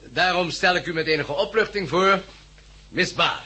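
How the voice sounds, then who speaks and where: Resolute male committee chairman, Dutch, very bright forceful voice, formal oratory, 1960s radio drama. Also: sits high, moderate pace, breathy edge, exaggerated voice. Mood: formal, courteous. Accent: Dutch, Netherlands.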